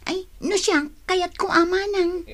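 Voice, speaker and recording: An actress playing a down-to-earth, kindhearted young woman in an Ilocano radio drama, animated and expressive. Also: very high voice, a little slow. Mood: glad, willing.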